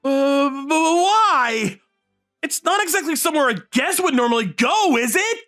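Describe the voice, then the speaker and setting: A man's voice: extremely high pitch, lively intonation, a little slow, bright, forceful tone, echoey room, long reverb tail, soft background music, full character voice. A man voicing an easily flustered, incredulous teenage schoolboy in a romantic comedy comic dub. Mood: panicked. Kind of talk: anime-style comic dub, dialogue and inner monologue.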